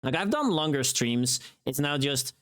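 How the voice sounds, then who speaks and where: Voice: mid-range voice, big pitch swings, unhurried, a bit bright. A livestreamer with a tired voice, chatting casually in a rambling, informal and mildly sweary way, close to the microphone. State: tired voice from long recording.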